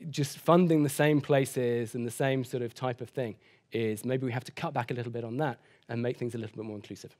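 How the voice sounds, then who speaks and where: A man in his thirties answering questions after a keynote at a conference, hedging often and speaking quickly. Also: medium pitch, steady tone, a little mellow. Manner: hedging, sort of, you know. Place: conference hall stage.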